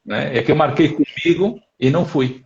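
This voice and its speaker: A man's voice: on the high side, moderate pace, vocal fry, dull audio, noisy background. A man with a European Portuguese accent, a psychologist hosting a casual live chat, talking thoughtfully and hesitantly with many fillers. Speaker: psychologist host.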